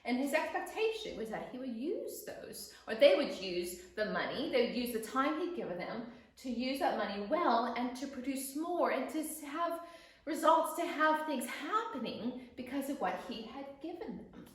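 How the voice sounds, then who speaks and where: Female children's teacher, expressive and emphatic, lively high voice, very reverberant space. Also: moderate pace. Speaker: guest storyteller and children's teacher. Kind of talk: explanatory chat for children. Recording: very echoey room.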